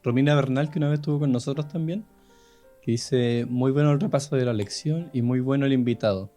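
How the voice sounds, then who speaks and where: Mellow Chilean man co-hosting a podcast. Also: mid-range voice, brisk, soft background music. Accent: Chilean Spanish. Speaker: podcast co-host. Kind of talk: casual chat, reading listener comments.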